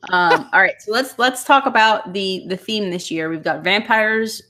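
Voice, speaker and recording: A woman hosting a casual podcast, relaxed and a little hesitant, chuckling now and then. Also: mid-range voice, moderate pace, slight background noise. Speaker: podcast host.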